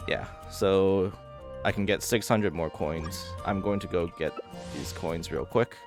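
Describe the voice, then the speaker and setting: Deep-voiced man streaming a game. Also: a little slow, music in the background. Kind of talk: casual live gameplay commentary.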